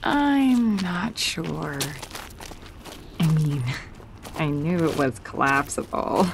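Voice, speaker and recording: A woman's voice: deep voice, very animated, drawn-out words, gravelly, noisy background, exaggerated voice. A woman playing a defiant, sensitive schoolgirl who is new in town, in an audio drama, with an earnest, hesitant delivery. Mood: hesitant, wistful.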